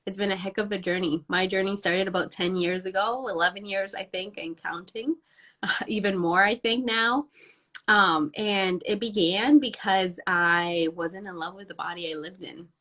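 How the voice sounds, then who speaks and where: Bright raspy-voiced woman hosting a livestream. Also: medium pitch, steady tone, normal speed. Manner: effusive, greets viewers by name. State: raspy from a cold. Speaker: live stream host. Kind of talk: live-streamed motivational talk.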